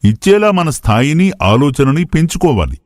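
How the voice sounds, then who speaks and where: A man's voice: fairly high pitch, sing-song, normal speed, a little mellow, no room sound, clean studio sound. A man playing a wise, patient elderly adviser in a moral story, speaking in a didactic, reassuring way. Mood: patient advising.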